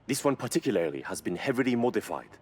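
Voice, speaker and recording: A man playing a knowledgeable car expert in a video game, explaining in a measured, admiring way. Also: mid-range voice, very animated, darkish tone, a little hiss or hum, lightly acted. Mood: impressed. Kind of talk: scripted video game dialogue.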